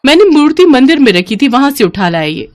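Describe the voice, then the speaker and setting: A woman voicing an easily upset, scolding young village mother in a moral story, sharp and exclaiming. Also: high voice, sing-song, a little fast, pitched above the performer's own voice. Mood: indignant. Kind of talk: animated Hindi moral story dialogue.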